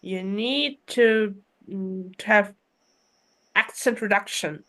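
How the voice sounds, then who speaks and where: A woman's voice: medium pitch, slow pace, fairly bright tone. A woman from Russia with a Russian accent, chatting casually in English as a guest. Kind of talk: casual conversation.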